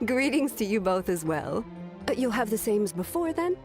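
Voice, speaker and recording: Jovial, lively food stall owner. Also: female voice, medium pitch, normal speed, music in the background. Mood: welcoming. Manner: hospitable, jovial. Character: cheerful, welcoming food stall owner, female. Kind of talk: video game voice acting.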